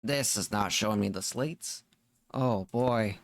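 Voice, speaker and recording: Casual, questioning game streamer, medium pitch. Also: takes it slowly, no room sound. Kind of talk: casual reacting and commentary during gameplay.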